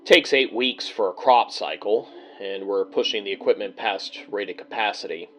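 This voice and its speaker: An actor playing a grim, worn-down male bunker survivor recording a personal log in an audio drama, speaking in terse, measured, bleak phrases with halting pauses. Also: on the low side, close to an everyday voice. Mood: grim, resigned.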